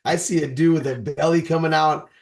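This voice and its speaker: A man's voice: on the high side, steady tone, fairly quick, gravelly. A man in his forties talking casually on a podcast. He rambles and stresses his points, often restarting his sentences. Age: 40s. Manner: rambling, emphatic, with frequent restarts.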